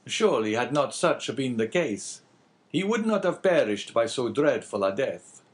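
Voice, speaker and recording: Man playing a formal, probing priest, raised pitch. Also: very animated. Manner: formal, measured, courteous. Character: polite, probing, composed male priest.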